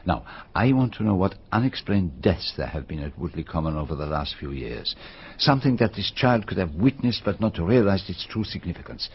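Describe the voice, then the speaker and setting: An actor playing a courteous, methodical older Belgian detective with a French-speaking Belgian accent, formal and probing in a radio drama. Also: male voice, deep voice, sing-song, fairly quick, a little hiss or hum. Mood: grave, methodical. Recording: slightly dull radio recording.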